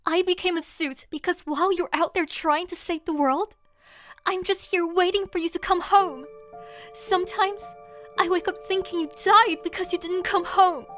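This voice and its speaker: A woman's voice: very high-pitched, fairly even intonation, moderate pace, a bit bright, faint music behind. A woman playing a headstrong, earnest young vigilante in an audio crime drama. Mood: pleading, defiant.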